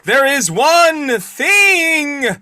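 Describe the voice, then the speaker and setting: A man playing a wailing male phantom in old-time radio style, stretching out his words in a high voice. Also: big pitch swings, drawn-out words, sharp tone, full character voice. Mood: commanding, wailing. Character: spooky wailing male ghost, a put-on phantom.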